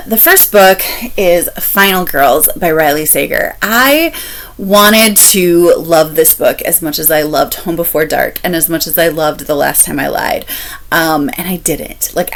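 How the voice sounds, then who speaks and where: A woman chatting casually as a book-review vlogger, candid and expressive, repeating phrases for emphasis. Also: fairly low pitch. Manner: candid, expressive, laughs while talking.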